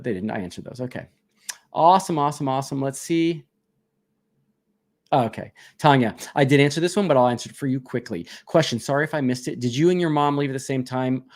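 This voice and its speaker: A man's voice: mid-range voice, a little mellow. A man hosting a casual live question-and-answer stream, reading viewer questions aloud and answering them chattily with frequent laughs.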